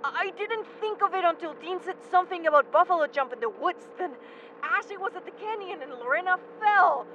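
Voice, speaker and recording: An actor as a guilt-ridden young man in an audio drama, confessing something traumatic. The voice is high for a man and halting, with stammered starts. The sound is dull, with clear vehicle noise behind it. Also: animated, sharp tone, heavily acted. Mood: agitated, defensive. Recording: dull, noisy lo-fi recording.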